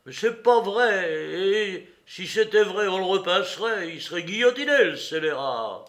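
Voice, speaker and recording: Audiobook role: rough, easily riled fairground man, acted by the narrator, loud, blustering, pitched up, colloquial. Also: expressive, a little slow. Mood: angry, threatening.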